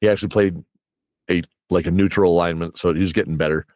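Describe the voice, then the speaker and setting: Low-voiced man telling tabletop game stories. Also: fairly even intonation, quick pace, darkish tone, dry recording, clean studio sound. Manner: rambling, acts out players' reactions in quotes. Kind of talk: casual animated storytelling chat.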